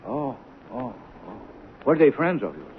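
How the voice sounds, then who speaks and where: Animated, baffled lunch-counter guy. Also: medium pitch, unhurried. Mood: baffled, exasperated. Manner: colloquial, animated. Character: baffled, blunt, kindly lunch-counter man. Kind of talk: radio drama acting. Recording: tinny, noisy 1970s radio recording.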